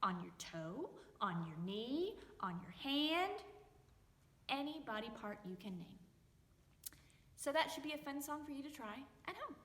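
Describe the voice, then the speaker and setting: A woman reads a children's story aloud in a high, very animated voice. She takes her time, stretching words for suspense in a theatrical way. The room adds a noticeable echo. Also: a little slow. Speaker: library storytime host and storyteller. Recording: echoey reverberant room.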